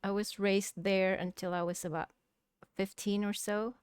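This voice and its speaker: A woman's voice: medium pitch, level tone, moderate pace, smooth, no room sound. A woman from Indonesia, an English speaker, answering interview questions hesitantly with many fillers. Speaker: guest, real estate investor. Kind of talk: casual interview.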